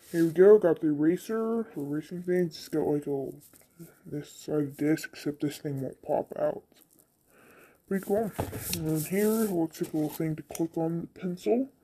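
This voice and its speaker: A video creator chatting casually and haltingly through an unboxing, with the voice run through a voice changer. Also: medium pitch, warm tone, slightly rough. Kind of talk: casual chat. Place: indoors at a tabletop.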